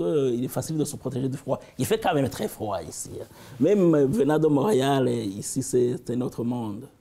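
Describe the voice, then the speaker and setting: A man from the region, answering interview questions thoughtfully, with halting restarts. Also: mid-range voice, vocal fry, breathy edge. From African Great Lakes region. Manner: thoughtful, halting, with frequent restarts and warm asides.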